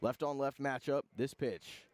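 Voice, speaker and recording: A man doing live radio play-by-play of a baseball game in clipped phrases, with pauses between calls. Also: medium pitch, steady tone, a little mellow. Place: outdoor ballpark broadcast.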